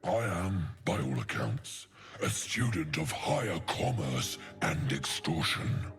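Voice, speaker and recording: Very deep, gravelly, pompous ogre. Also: male voice, sing-song, slow, fairly bright tone, faint music behind, full character voice. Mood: cunning, haughty. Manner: pompous, florid, theatrical, savoring words. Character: huge gluttonous, greedy, eloquent male ogre mercenary. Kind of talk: video game character acting.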